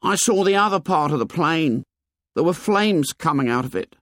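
A man's voice: a little high, expressive, moderate pace, fairly bright tone, no echo, clean studio sound, pitched above the performer's own voice. A man voicing an anxious, talkative young schoolboy with colloquial, ungrammatical speech in an audiobook. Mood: anxious, insistent. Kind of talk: audiobook character dialogue.

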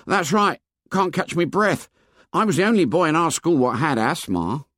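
Man putting on a high, anxious young schoolboy. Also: lively intonation, moderate pace, fairly bright tone, dry recording, clean studio sound. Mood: breathy pride. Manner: ungrammatical, colloquial working-class schoolboy speech. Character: plump, anxious, talkative young schoolboy with asthma. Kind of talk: audiobook character dialogue.

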